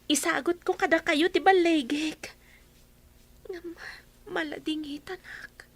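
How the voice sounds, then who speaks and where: A woman's voice: very high voice, fairly even intonation, slow pace, no room sound, exaggerated voice. A woman voicing a teenage girl who has just graduated and misses her absent father. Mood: sad. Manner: sad, earnest.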